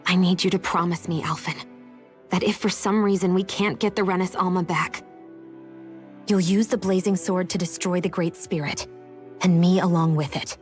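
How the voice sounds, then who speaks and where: An actress playing a serious, resolute young heroine in a video game cutscene. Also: female voice, lowish voice, steady tone, normal speed, darkish tone, soft background music, close to an everyday voice. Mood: solemn, resolute.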